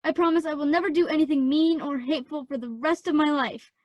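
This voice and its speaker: A woman's voice: sits high, fairly even intonation, fast, no echo, natural voice. A woman playing a remorseful young girl in a modern radio play, pleading with childlike earnestness.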